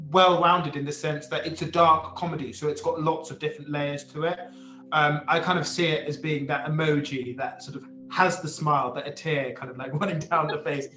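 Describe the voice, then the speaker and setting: A man in his twenties speaking haltingly and reflectively as an interviewed writer-director on a video call. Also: fairly high pitch, fairly bright tone. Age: 20s. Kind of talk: thoughtful interview talk. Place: indoor room, video call. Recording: roomy, echoey video call.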